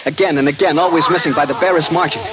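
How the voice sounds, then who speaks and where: A man's voice: fairly high pitch, fairly even intonation, fast talker, heavy background noise, close to an everyday voice. An American actor playing a man who dramatically recounts a brush with death in 1940s radio drama style. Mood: frantic suspense. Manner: intense, dramatic delivery. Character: young male adventurer breathlessly recounting his narrow escape from death. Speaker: lead actor narrating. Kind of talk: 1940s radio drama acting, first-person narration. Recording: dull vintage radio recording, loud music.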